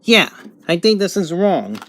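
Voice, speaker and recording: A man giving a casual, unscripted product review at home, thinking aloud in halting phrases. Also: high-pitched, big pitch swings, a bit bright, slight background noise. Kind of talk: casual unboxing and review narration. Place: home bedroom, indoors.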